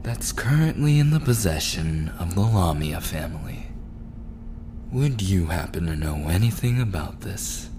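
A man playing a smug, menacing mafia prince in an immersive roleplay audio drama, possessive and coolly charming, with deliberate teasing threats and breathy murmurs. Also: low-pitched, animated. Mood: menacing, coaxing. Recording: clearly noisy background ambience.

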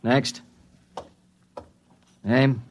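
A man playing a brisk, indifferent spaceport clerk with a curt, officious manner in a radio drama. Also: mid-range voice, steady tone, slight background noise. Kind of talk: 1950s radio drama acting.

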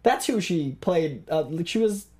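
A man co-hosting an entertainment news show, lively and quick to laugh as he leads into the stories. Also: fairly high pitch. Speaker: show co-host. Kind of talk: casual entertainment news chat.

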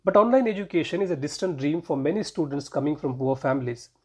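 A man's voice: a little high, lively intonation, fast, husky. A man with an Indian accent reading scripted news narration as a podcast host and reporter.

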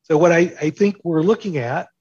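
Male interview guest, slightly high and rough-edged, emphatic explainer of big ideas, close dry lo-fi sound. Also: moderate pace, darkish tone, no echo. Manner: explains with analogies and rhetorical questions. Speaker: interview guest, market and politics commentator.

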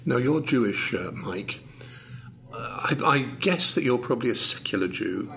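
A man's voice: mid-range voice, expressive, normal speed, gravelly, a bit bright. A man interviewing a guest, asking probing questions with some hesitant starts. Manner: probing, hesitant lead-ins.

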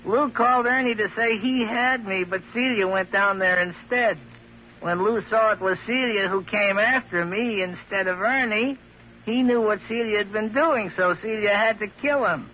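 High-voiced, taunting ventriloquist's dummy acted by a man. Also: moderate pace, natural voice. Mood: sly, accusing. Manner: gleeful, needling, cackling. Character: sly taunting ventriloquist's dummy, mocking wisecracker. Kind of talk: 1950s radio drama acting. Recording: muffled, noisy old radio recording.

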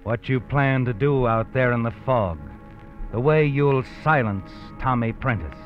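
An actor playing a knowing, sardonic narrator who taunts the protagonist in old-time radio suspense style. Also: male voice, mid-range voice, measured pace, natural voice. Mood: knowing, ominous. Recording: muffled old radio recording with music.

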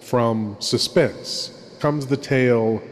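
A man hosting a horror show with an ominous, theatrical delivery and dramatic pauses. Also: mid-range voice. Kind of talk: theatrical scripted horror introduction. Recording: long reverb, noisy ambience behind.

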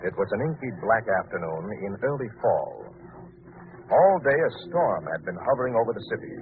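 A man narrating a radio mystery in a dramatic, deliberate 1940s scripted style. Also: on the low side. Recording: muffled, noisy old radio recording.

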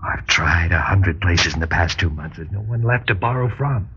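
An actor playing a nervous, guilt-ridden husband in an old radio drama, with a husky voice and a halting, stammering delivery. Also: male voice, sits low, lively intonation, noisy background. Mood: remorseful, frantic. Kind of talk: mid-century radio drama acting. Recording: dull, lo-fi old radio recording.

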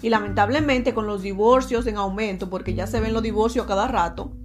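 Woman podcast host, teasing and chatty, slightly high voice. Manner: chatty and teasing, calls listeners "señores" and "mi amor". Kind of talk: casual talk to listeners.

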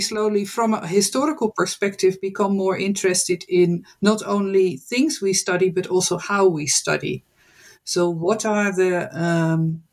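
A speaker from the Netherlands, a guest professor answering interview questions in a thoughtful, hesitant way with many fillers. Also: medium pitch.

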